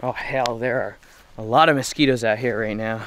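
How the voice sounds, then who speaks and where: A man in his thirties talks straight to his camera from a cramped truck camper. He sounds relaxed and chatty, in an everyday mid-range voice. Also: mid-range voice, moderate pace, a little hiss or hum. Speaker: vlogger. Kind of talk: casual solo camping vlog. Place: inside a small truck camper.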